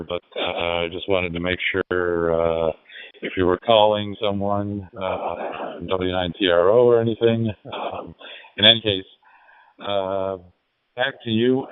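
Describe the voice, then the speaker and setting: A man chatting casually as an amateur radio operator, halting and polite, spelling out call signs phonetically over a narrowband digital radio link. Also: sits low, clear, resonant tone, no room sound.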